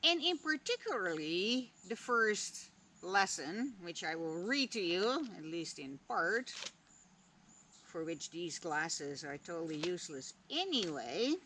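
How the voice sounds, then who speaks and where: Dutch-born woman musing out loud super slowly, lots of pauses, candid and a bit sweary. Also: on the low side, sing-song, a little hiss or hum. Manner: slow, deliberate, musing with long pauses; candid, drops casual swearing. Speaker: spiritual vlogger. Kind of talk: reflective personal talk.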